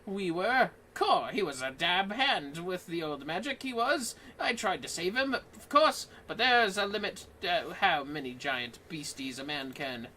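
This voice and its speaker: A man's voice: high voice, sing-song, a little slow, few pauses, sharp tone, a little hiss or hum, heavily acted. A man putting on a boastful, swaggering young adventurer. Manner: theatrical, pompous. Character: boastful swaggering young male adventurer, self-styled hero.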